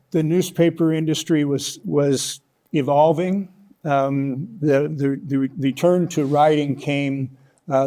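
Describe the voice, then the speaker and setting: An older man with an American accent speaks as a guest in an interview. His voice is soft-edged and mellow, sitting a little higher than most men's. He tells his stories thoughtfully, pausing and picking his way through the sentences. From United States, Kansas. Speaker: interview guest, author and former sports journalist. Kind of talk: conversational interview answers, reflective storytelling.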